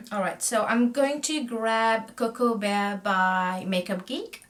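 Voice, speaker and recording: A woman's voice: a little high, takes it slowly, fairly bright tone. A woman in her thirties from Sri Lanka, chatting at a close camera while doing her makeup, friendly and talkative, often trailing off mid-sentence. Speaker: makeup vlogger. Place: small indoor room, plain backdrop. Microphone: camera facing her at close range.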